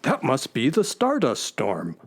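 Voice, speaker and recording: A woman playing a calm, loyal sidekick in a children's space adventure radio play. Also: rumbling low, measured pace, husky, heavily acted. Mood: concerned.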